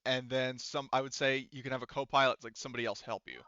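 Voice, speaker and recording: A man running a tabletop roleplaying game as game master, improvising narration in a casual, profane, halting way. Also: mid-range voice, level tone, bright, forceful tone, no room sound, highs cut off.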